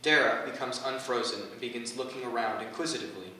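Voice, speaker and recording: A man's voice: medium pitch, fast, bright, forceful tone. A man reading stage directions as the scripted narrator of a staged play reading. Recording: echoey hall, distant mic, background noise.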